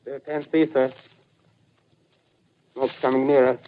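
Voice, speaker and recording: A man's voice: medium pitch, flat delivery, a little slow, natural voice. A man playing a strained, choking artillery gunner in a 1930s radio drama. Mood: strained, faltering. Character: strained male gunner struggling through poison smoke. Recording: tinny, noisy old radio recording.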